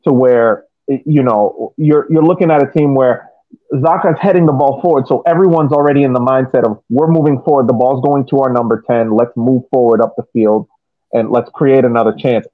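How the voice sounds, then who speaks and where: A man's voice: mid-range voice, fairly quick, no room sound. Muffled dry-sounding guy on a soccer podcast, chatting thru the plays. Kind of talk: casual match analysis. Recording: dull lo-fi mic, dry sound.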